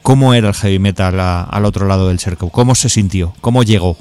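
A man explaining and telling anecdotes in a measured, conversational way as an interview guest. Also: deep voice, darkish tone, soft background music. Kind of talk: conversational storytelling.

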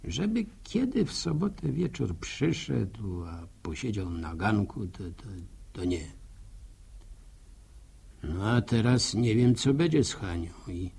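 Gravelly, slow-talking village man. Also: on the low side, big pitch swings, a little mellow, full character voice. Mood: pensive, worried. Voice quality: gravelly, husky. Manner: rustic, musing, drawn-out, hesitant repetitions. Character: sympathetic, grumbling village countryman philosophising to himself. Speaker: narrator character in a radio serial. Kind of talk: folksy radio drama monologue.

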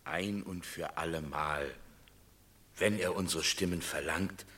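An actor playing a grumbling Roman commoner with a gravelly voice in a classic radio drama. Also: male voice, deep voice, takes it slowly, background noise.

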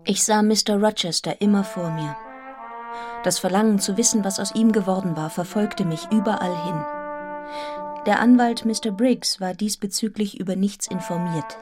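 An actress playing a serious, restrained young governess in a radio drama, telling her story in a measured, articulate, introspective way. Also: mid-range voice, music in the background, lightly acted. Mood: reflective, grave. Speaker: actor in a radio play. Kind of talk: literary radio drama narration and dialogue.

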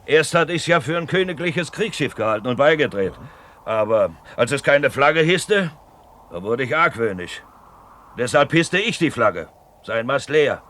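An actor playing a grizzled, blustering grey-haired merchant captain in a radio drama, with a hoarse, salty, emphatic delivery. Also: male voice, medium pitch, expressive, measured pace, a bit bright. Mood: earnest, emphatic. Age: older. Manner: bawling, emphatic, salty storytelling. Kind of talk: 1970s German radio drama acting.

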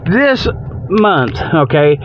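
Male radio host, high voice, folksy and rambling comic delivery, dry but dull lo-fi recording. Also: big pitch swings, very slow, a little hiss or hum. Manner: folksy colloquial grammar; repeats "okay" and "you know" as tags. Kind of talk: rambling comedic radio monologue.

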